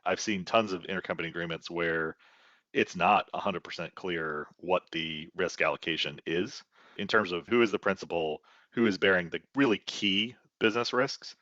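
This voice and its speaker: A man's voice: deep voice, moderate pace, sharp tone, no room sound, highs cut off. A man giving conversational expert commentary as a panelist, explanatory and leaning on key words for emphasis.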